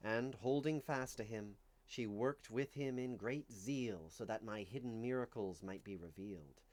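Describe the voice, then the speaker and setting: Solemn man giving a dramatic reading. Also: mid-range voice. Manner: solemn, declamatory, deliberate phrasing with dramatic emphasis. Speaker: reader of a religious text. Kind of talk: dramatic reading of medieval visionary scripture.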